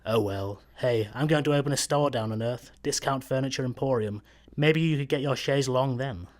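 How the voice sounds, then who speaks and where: A man's voice: mid-range voice, brisk, a little mellow, natural voice. An actor playing a hapless, hopeful salesman stowaway in a sci-fi radio comedy, hesitant and earnest. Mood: hopeful, resigned.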